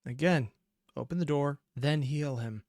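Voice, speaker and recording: A man's voice: on the high side, a little slow, a little mellow, no echo, clean studio sound, soft background music. A man hosting a reaction video, analyzing as he watches and often trailing off mid-thought. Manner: thinks aloud, trails off mid-sentence, analytical. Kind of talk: casual commentary reacting to a video.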